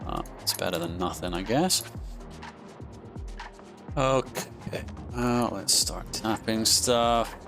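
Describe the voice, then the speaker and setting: A man's voice: lowish voice, slow, pauses often, over music. A man commentating his own strategy game in a casual style, thinking aloud with frequent ums and restarts and sudden surprised outbursts. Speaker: gaming commentator.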